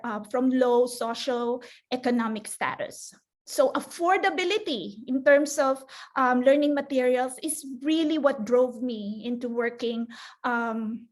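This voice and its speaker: A woman from the Philippines, likely in her forties, giving a conference talk as a librarian, hesitant with many fillers and restarts. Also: on the high side. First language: Filipino (Tagalog). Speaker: librarian presenter.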